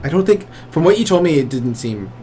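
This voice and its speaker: Young man, fairly high voice, wry and joking, casual podcast chat, faint hiss. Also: very animated, a little fast, mellow. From Cuban American, raised in southern Georgia. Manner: laughs often, wry and joking, trails off into restarts. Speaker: podcast co-host.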